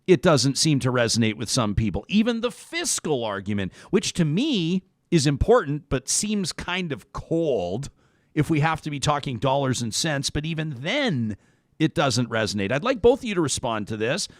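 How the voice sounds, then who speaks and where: A man hosting a conversational interview, speaking haltingly and often repeating words as he rephrases. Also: on the high side, sing-song, dry recording, clean studio sound. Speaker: talk show host.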